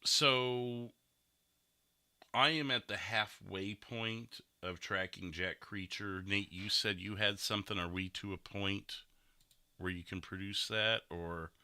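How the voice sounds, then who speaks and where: A man's voice: on the low side, steady tone, slow pace, pushed and bright, dry recording. A man hosting a casual discussion show, talking through recorded gameplay in an informal way with some swearing. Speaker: podcast host.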